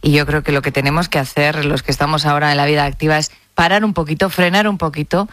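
A woman's voice: low voice, fairly even intonation, quick pace, fairly bright tone, no room sound. A woman with an accent from Spain hosting a radio talk show in a conversational style.

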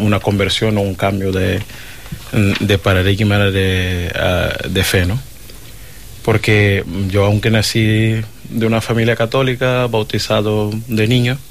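A man from Verde, a seminarian, telling his vocation story with many pauses and humming fillers. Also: fairly low pitch. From Cape Verde. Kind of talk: personal testimony.

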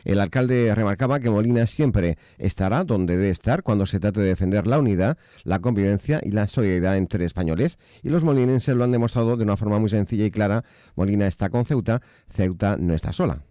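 A male news reporter with an accent from Spain reads a scripted report at a quick pace. His voice is low and mellow. The recording is close and dry. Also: no room sound.

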